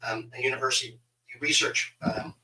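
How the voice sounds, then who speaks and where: A man's voice: mid-range voice, fairly even intonation. Middle-aged man, roomy distant sound. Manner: halting, repeats words as he goes. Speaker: podcast host. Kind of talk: casual interview chat. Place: small home office on a video call. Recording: bright, roomy, distant mic with hiss.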